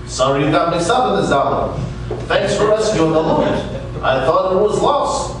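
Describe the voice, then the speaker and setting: A chatty, double-crossing crook with false courtesy, acted by a man in a high, theatrical voice. It is a radio play heard from afar in a very echoey room. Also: lively intonation, normal speed, noisy background. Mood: smug. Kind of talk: stage radio-play comic crime acting. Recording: echoey, distant stage recording with music.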